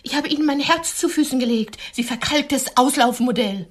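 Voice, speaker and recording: A woman's voice: sits high, moderate pace, background noise, lightly acted. An actress playing a wounded, indignant woman who longs for romance, dramatic and reproachful in a radio play.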